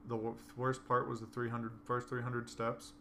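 A man with a raspy, hoarse voice chatting casually and dryly. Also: medium pitch, monotone, moderate pace, fairly bright tone, a little hiss or hum. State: hoarse from coughing. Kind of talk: casual chat.

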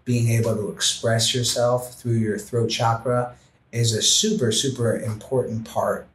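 A man speaking as a podcast guest, earnest and gentle, talking in a reflective way with many fillers like "you know" and "like". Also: mid-range voice, steady tone, measured pace, roomy sound. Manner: gentle, heartfelt. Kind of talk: reflective interview conversation.

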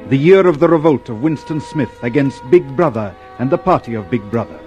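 An actor playing a grave, taunting narrator in a radio drama, deliberate and theatrical. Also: male voice, mid-range voice, expressive, a little mellow, soft background music, natural voice. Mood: grave, ominous.

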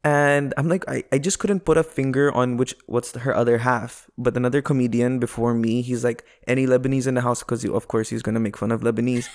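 A man's voice: medium pitch, fairly even intonation, a little fast, hardly any pauses, a little mellow. A man with a slight Filipino twang tells stories casually and jokingly, acting out quoted asides like a stand-up comedian chatting. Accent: Filipino-tinged. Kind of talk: casual conversational storytelling.

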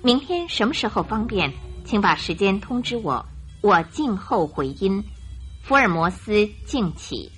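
A woman narrator telling a scripted detective story for a radio drama in an expressive storytelling style. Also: mid-range voice, measured pace, faint music behind.

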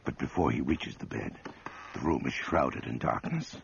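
A man narrating a radio horror drama in a measured, ominous, scripted manner. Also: rumbling low, creaky, full character voice. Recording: muffled, noisy old radio recording.

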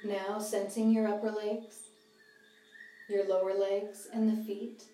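Female meditation guide, soft-edged mellow tone, calm measured phrasing, recorded slightly away from the mic with music behind. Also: medium pitch. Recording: slightly distant roomy sound, music behind.

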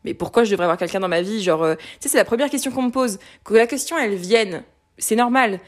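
A woman in her late twenties talking candidly in a casual conversation, lively, with many fillers and restarts. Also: medium pitch, fast. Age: late 20s.

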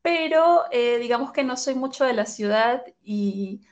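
A woman's voice: fairly high pitch, expressive, measured pace, muffled recording. A woman in her twenties with a Venezuelan accent, casually telling her own story as a podcast guest in an informal, giggly manner. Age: 20s.

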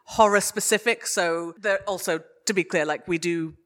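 A woman giving an informal panel talk as a guest, giggly and animated, often correcting herself as she goes. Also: on the low side, moderate pace. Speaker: guest speaker, audio fiction producer. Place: live panel at a podcast festival.

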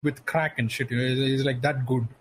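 A man's voice: mid-range voice, little pitch movement, fairly quick, fairly bright tone, a little hiss or hum. A young man co-hosting a casual livestream chat, rambling enthusiastically and swearing a lot. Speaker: podcast co-host.

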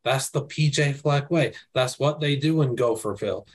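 Excitable man talking college football. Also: medium pitch, normal speed. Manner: excited, emphatic, casual slang. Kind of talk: casual sports podcast chat.

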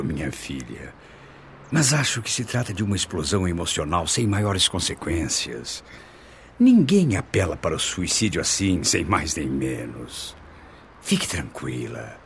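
An actor playing a caring, devout Brazilian father in a radio soap, speaking in a measured, earnest, soothing way. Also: very deep voice, slight background noise, exaggerated voice. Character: caring, reassuring father, devout expectant grandfather.

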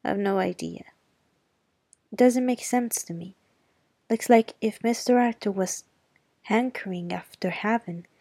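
A woman reading the part of a wry, sharp-tongued middle-aged neighbor, speaking slowly, dryly and grimly. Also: mid-range voice, slightly rough, dry recording. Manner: slow, dry and grim. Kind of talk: audiobook character reading.

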